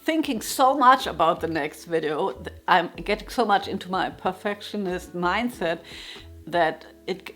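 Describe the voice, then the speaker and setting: A middle-aged woman speaks English with a German accent, at a voice of middling height for a woman. She reflects in a candid, unhurried way and gently pokes fun at herself as she talks through her routine. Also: medium pitch. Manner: thoughtful, candid, self-deprecating, chuckles now and then. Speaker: jazz guitar teacher and YouTuber. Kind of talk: reflective casual monologue. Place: her home room.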